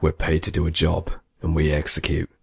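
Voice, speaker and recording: A man playing a gruff, curt military commander in an audio drama, with a deep gravelly voice and terse, commanding delivery. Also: completely flat delivery, a little fast, no room sound, studio quality, heavily acted. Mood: cold, resentful. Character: gruff, curt male soldier commander named Marx.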